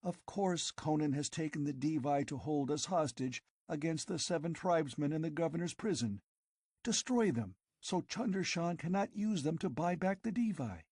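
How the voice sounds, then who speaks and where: A man's voice: fairly high pitch, animated, normal speed, darkish tone, husky, dry recording, clean studio sound, voice pushed up. A man reading an audiobook, voicing a fierce, passionate young woman who pleads urgently and breathlessly. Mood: passionate urging. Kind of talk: audiobook character voice.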